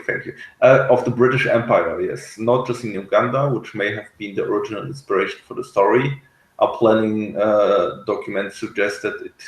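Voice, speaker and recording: A man reviewing a TV episode in casual, analytical discussion, speaking haltingly as he searches for words. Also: fairly low pitch, reverberant, poor audio. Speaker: podcast reviewer.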